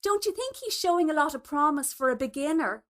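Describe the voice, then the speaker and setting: A woman plays a socially ambitious mother in a storybook reading. The voice is high and swoops dramatically, affected and gushing, with a snobbish edge. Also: expressive, a little fast. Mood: gushing, flustered. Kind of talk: storybook dialogue.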